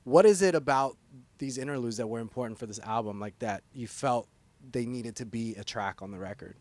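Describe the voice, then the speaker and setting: A man's voice: mid-range voice, brisk, a little mellow. A man interviewing a band, enthusiastic and rambling as he asks his questions. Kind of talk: casual music interview.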